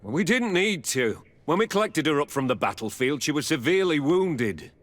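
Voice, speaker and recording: A man's voice: a little high, big pitch swings, normal speed, a bit bright. A man acting a blunt, unsentimental military captain in a video game, brusque and matter-of-fact.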